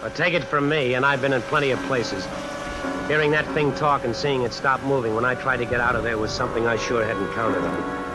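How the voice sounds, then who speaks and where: A man's voice: medium pitch, close to an everyday voice, poor audio. A man playing a cornered tough guy who narrates his predicament in a colloquial, brooding way in an old radio suspense play. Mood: desperate. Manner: colloquial, deliberate, brooding inner monologue. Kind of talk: 1940s-50s radio suspense acting, first-person narration. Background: music and rain sounds.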